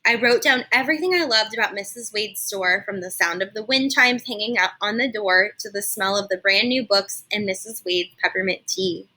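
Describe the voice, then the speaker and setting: A woman reading a children's story aloud like a teacher, animated and explaining things simply for young listeners. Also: mid-range voice, normal speed, hardly any pauses, rough recording. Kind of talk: expressive children's read-aloud with explanatory asides.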